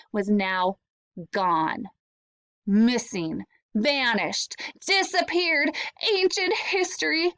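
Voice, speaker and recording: A woman's voice: on the high side, fairly bright tone, no room sound. A woman, an elementary school teacher, reading a children's storybook aloud expressively, lingering on key words.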